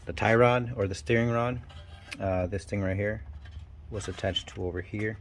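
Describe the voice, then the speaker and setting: Host voice: nasal, congested man, low-pitched, halting and self-deprecating as he works, faint ambience behind. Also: slight background noise. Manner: talks while working, halting and self-deprecating. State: stuffy, nasal from a cold. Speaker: channel host. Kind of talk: casual DIY garage walkthrough. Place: home garage.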